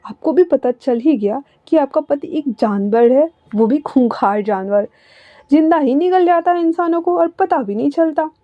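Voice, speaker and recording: A woman's voice: high-pitched, lively intonation, normal speed, no room sound, muffled recording, pitched above the performer's own voice. A woman voicing a teasing young man who jokes at others in a storybook reading. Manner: teasing, laughing.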